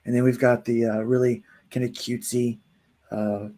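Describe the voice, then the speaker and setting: A man's voice: mid-range voice, level tone, normal speed, dark tone. A man in his forties, a comic book writer chatting casually as an interview guest, rambling with lots of fillers. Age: 40s.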